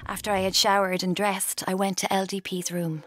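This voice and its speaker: An actress playing a cold woman in her twenties, narrating her confession in a clipped, deliberate way in a radio drama. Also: medium pitch, level tone, fairly bright tone, a little hiss or hum, natural voice. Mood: cold, intense. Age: 20s. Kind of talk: scripted first-person drama narration.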